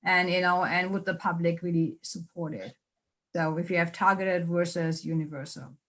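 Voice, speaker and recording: A woman from Germany with a German accent, answering a question after her lecture in a measured way, with many ums and pauses, over a video call. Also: on the low side, steady tone, fairly bright tone. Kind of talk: academic Q&A answer.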